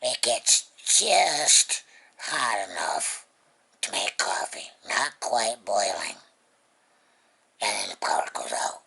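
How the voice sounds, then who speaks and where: Super husky creaky low voice, slow rambly vlog chat, kinda whispery. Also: sing-song, bright, forceful tone. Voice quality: very husky, breathy, gravelly voice, often near a whisper. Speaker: vlogger, host of the channel. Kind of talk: casual unboxing vlog chat. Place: indoors at home.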